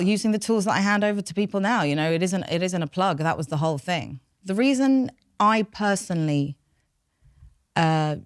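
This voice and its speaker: A woman's voice: lowish voice, no room sound, clean studio sound. A woman from North London, a native Farsi speaker with a London accent, talking openly and reflectively about herself in an interview. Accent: London English. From North London, England. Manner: open, reflective. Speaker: podcast guest, behaviour change specialist. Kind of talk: candid personal interview.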